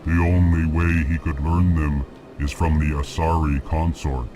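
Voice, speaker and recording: A man playing a ponderous alien merchant in a video game, speaking in a flat, formal, deliberate way and announcing each feeling. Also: very deep voice, over music. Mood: ponderous, puzzled. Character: slow, ponderous alien merchant who announces his emotions.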